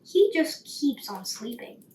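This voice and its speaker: A woman's voice: a little high, big pitch swings, slow pace, a little mellow. A woman voicing a self-assured male sparrow, a pompous know-it-all who explains things in a bedtime story. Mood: satisfied, matter-of-fact. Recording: roomy, distant mic, faint noise.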